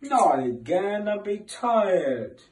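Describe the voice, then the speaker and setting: A man's voice: high-pitched, very animated, drawn-out words, a little mellow, reverberant, recorded from a distance, exaggerated voice, low-quality recording. A young man playing a grumpy elf in an amateur pantomime, recorded in a room away from the mic. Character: grumpy elf dressed as video-game plumber.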